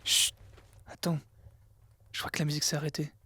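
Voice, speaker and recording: A performer playing a nervous, fussy companion who protests easily and hushes others. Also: mid-range voice, level tone, brisk, slightly rough, no echo.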